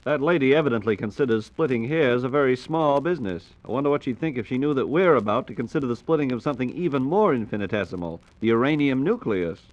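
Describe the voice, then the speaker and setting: A man's voice: medium pitch, animated, quick pace, hardly any pauses. A man with an American accent presenting a scripted 1940s radio program in a polished, formal way. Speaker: radio host. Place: radio studio. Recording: dull, lo-fi 1940s radio recording.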